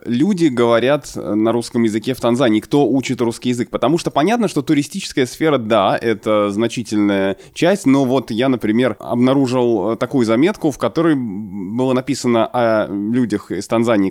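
Male interviewer, average pitch, no room sound. Conversational, halting, winding questions. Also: darkish tone, no room sound, studio quality. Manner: hesitant, roundabout long questions with many pauses. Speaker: interviewer, host.

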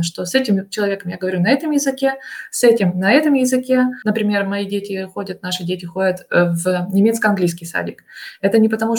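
A woman speaking as a podcast guest, explaining language examples in a precise, explanatory way with occasional fillers. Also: mid-range voice. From Russian-speaking, living in Germany. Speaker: podcast guest, translator and Russian teacher. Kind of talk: casual interview talk.

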